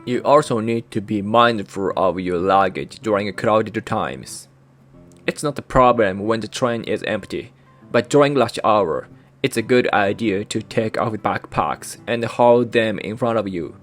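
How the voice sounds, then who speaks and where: Japanese-accented man narrating calmly. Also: fairly low pitch, measured pace, faint music behind. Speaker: channel host. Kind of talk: scripted explainer narration.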